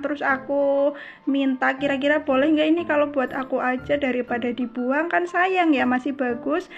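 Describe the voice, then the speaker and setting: A woman from Cilacap in Indonesia with a Javanese Ngapak accent, chattily narrating a casual homemaker vlog and often addressing her viewers as friends. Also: high voice. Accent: Javanese Ngapak (Banyumasan, Cilacap). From Cilacap, Central Java, Indonesia. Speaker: homemaker vlogger. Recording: slightly dull voice-over under music. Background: background music.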